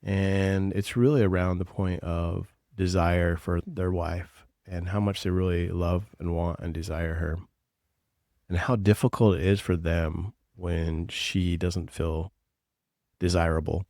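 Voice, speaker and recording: A man's voice: low voice, creaky, a little mellow, no room sound, clean studio sound. A man co-hosting a podcast as a coach, talking in a blunt, teasing, earnest way. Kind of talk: casual podcast chat.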